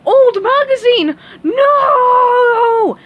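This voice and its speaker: A woman voicing a dim, good-natured male starfish who talks loudly and slow-wittedly. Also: fairly high pitch, big pitch swings, muffled recording. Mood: terrified.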